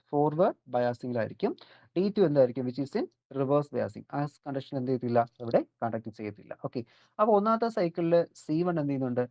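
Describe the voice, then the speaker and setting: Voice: medium pitch, warm tone. A lecturer with a Malayali accent from Kerala explains electronics step by step, posing questions and answering them and mixing in English technical terms. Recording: dull, lo-fi audio.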